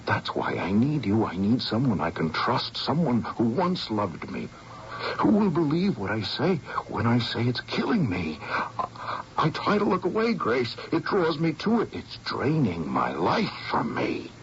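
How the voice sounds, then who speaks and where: An actor playing a frightened, haunted man convinced he is dying, intense and insistent, at times hushed, in a radio drama. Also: medium pitch, wildly swooping pitch, measured pace. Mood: desperate pleading. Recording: dull, noisy old radio drama recording.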